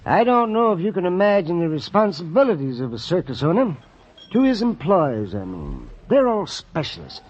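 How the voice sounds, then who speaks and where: An actor playing an anxious, flustered circus owner in an old-time radio detective drama, speaking hesitantly and excitably. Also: male voice, high-pitched, moderate pace, lo-fi sound, full character voice. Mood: fretful, pleading.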